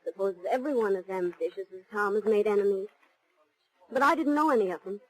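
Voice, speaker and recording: An actress playing an anxious, guarded young working woman in 1940s radio style, husky and hesitant. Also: medium pitch, brisk, close to an everyday voice, poor audio. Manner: hesitant, evasive.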